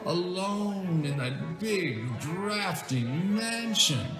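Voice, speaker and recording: A man voicing a tipsy, flirtatious society woman with a teasing, drawn-out delivery in an audio comic drama. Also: sits high, lively intonation, reverberant, over music, pitched above the performer's own voice, exaggerated voice.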